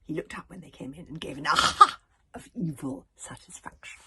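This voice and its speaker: A woman's voice: on the low side, very animated, a little fast, gravelly, heavy background noise. A woman reading a children's story aloud with dramatic emphasis, occasionally stumbling over her words. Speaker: author reading own book aloud.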